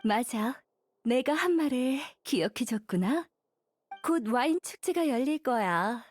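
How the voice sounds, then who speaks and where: A woman's voice: high voice, animated, measured pace. An actress playing a kindly, patient young woman mentor in an anime game, teacherly and encouraging. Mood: gently encouraging. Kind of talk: Korean anime game voice acting.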